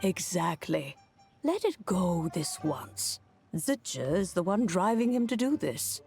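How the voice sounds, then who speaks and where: A woman's voice: on the low side, big pitch swings, takes it slowly, creaky, darkish tone, faint music behind, exaggerated voice. A woman voicing a composed, easygoing female character in a video game. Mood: placating. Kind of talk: game voice acting.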